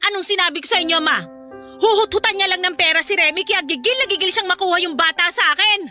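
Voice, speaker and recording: A woman playing a protective, loving, excitable mother in a Filipino audio drama, speaking emphatically and emotionally. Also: very high voice, fast talker, heavily acted. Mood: indignant. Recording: music under the voice.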